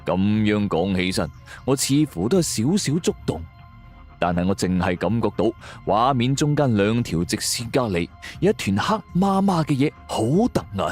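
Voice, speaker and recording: A man's voice: lowish voice, big pitch swings, normal speed, darkish tone. A man narrating a scripted suspense story in a dramatic way. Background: background music.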